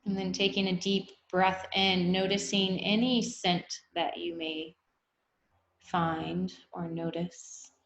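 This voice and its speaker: A woman guiding a meditation, speaking in an unhurried, gently instructive way with long pauses. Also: lowish voice, flat delivery. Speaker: meditation guide. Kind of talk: guided meditation, body scan. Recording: echoey room.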